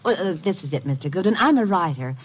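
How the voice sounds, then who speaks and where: A woman's voice: lowish voice, expressive, a little hiss or hum, close to an everyday voice. An actress playing a coy, gushing young woman writer in 1950s radio drama style. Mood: eager. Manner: coquettish, gushing, hesitant pauses. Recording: dull vintage radio recording.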